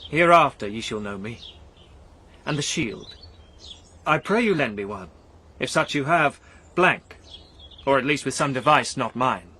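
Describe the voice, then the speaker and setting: An actor playing a great, world-worn knight in a verse radio drama, formal, measured, guarded and courteous. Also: male voice, medium pitch, animated, bright, forceful tone, husky, exaggerated voice. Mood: guarded formality.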